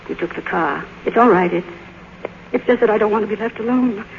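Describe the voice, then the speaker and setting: An actress playing an aging silent film queen in a radio drama, grand, fragile and possessive, with halting theatrical delivery. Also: mid-range voice, creaky, close to an everyday voice. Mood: wounded, controlling. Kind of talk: 1950s radio drama acting. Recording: noisy, dull old radio broadcast recording.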